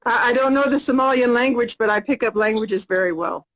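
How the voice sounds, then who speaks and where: An American woman in her fifties hosting a casual live video chat, upbeat and repeating her questions slowly and plainly. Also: a little high, a bit bright, rough recording. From United States. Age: 50s.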